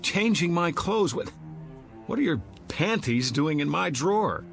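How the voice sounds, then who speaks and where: An irritable, hungover husband in a suspense drama. His voice sits fairly high for a man and swings widely as he wisecracks and grumbles in sarcastic exasperation, with faint music behind. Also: sing-song, a little slow, vocal fry, full character voice. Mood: annoyed.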